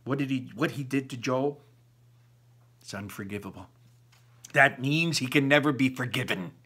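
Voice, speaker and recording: A man's voice: medium pitch, normal speed, sharp tone, breathy edge. A man voicing a wounded, angry, protective mother from a storybook, scolding her child with emphasis. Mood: hurt, angry.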